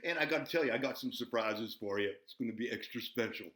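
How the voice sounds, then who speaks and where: A man voicing an excitable, folksy, bumbling buzzard who hosts a children's show, rambling and repeating himself as he chats. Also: mid-range voice, quick pace, roomy sound, natural voice. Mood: excited, boastful. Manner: folksy, repetitive, easily flustered, giggly exclamations. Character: excitable, folksy, bumbling old farm buzzard puppet host.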